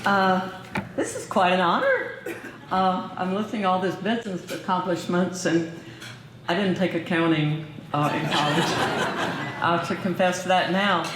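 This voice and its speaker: An older woman, a former professor, giving a hall of fame acceptance speech with gentle humor and a formal finish. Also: fairly low pitch, expressive, measured pace, background noise. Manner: warm, lightly humorous, formal. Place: large banquet hall. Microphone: podium microphone. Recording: roomy hall over a PA.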